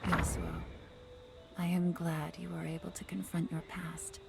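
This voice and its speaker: Creaky-voiced, gentle, grave heroine. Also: female voice, lowish voice, little pitch movement, measured pace, noisy background. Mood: solemn. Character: gentle, grave woman seeking her lost past.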